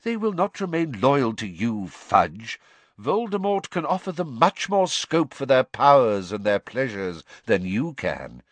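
A man voicing a calm, commanding old wizard headmaster who speaks coolly and with measured authority. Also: mid-range voice, no room sound. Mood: grave. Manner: cool, measured.

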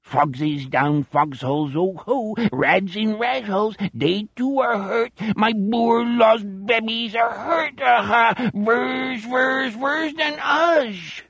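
A guttural, unhinged alien personality for an audiobook, with a warped mock-German accent and a high, sing-song voice. He sounds husky and dry. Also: takes it slowly, hardly any pauses, fairly bright tone, no room sound, heavily acted. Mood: gloating. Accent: odd mock-German.

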